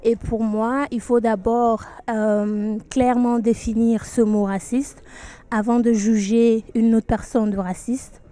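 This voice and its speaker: A woman from Burkina Faso, a pageant candidate, giving earnest, measured interview answers. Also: fairly high pitch, level tone, mellow.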